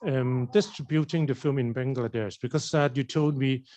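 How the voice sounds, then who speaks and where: A man's voice: medium pitch, steady tone, normal speed, warm tone. A man moderating a film festival Q&A, hesitant, with many fillers and stammered starts. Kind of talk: hosting a festival Q&A. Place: cinema hall.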